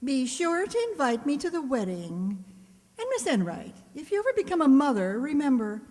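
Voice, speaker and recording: A woman's voice: high voice, big pitch swings, darkish tone, in a large hall. A woman playing a sardonic, wisecracking English teacher with dry, arch delivery in old-time radio comedy style. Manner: dry, arch, quick with quips.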